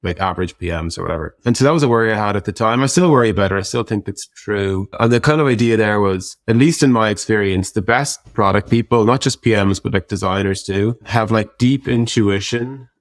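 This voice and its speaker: Low-pitched man, reflective and informal. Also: brisk, few pauses, no room sound, studio quality. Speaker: interview guest, tech product executive. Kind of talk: casual interview conversation.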